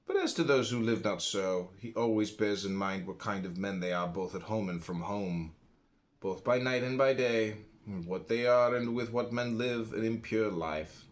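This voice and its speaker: A man reading a philosophy book aloud in a solemn, deliberate way, phrase by phrase with pauses. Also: lowish voice. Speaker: audiobook reader.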